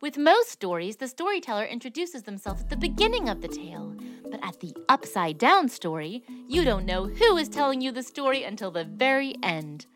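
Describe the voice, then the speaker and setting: Woman, highish voice, swooping intonation, theatrical kids' promo style, prominent music behind. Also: very animated, moderate pace. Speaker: promo announcer. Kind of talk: dramatic scripted trailer for a children's mystery podcast. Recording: loud music under voice.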